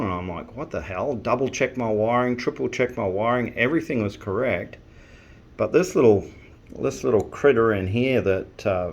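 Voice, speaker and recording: A man talking casually to camera about fitting an intercom into his vehicle, plain-spoken and rambling with frequent restarts. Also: medium pitch. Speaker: vehicle owner showing an intercom install.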